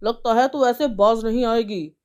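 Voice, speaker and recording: A man playing a brash, boastful neighbourhood gangster who threatens and bullies in an animated story. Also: very high voice, measured pace, clean tone. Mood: boastful, threatening. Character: swaggering drunken male neighbourhood gangster, bullying and boastful. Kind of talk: acted dialogue in an animated moral story.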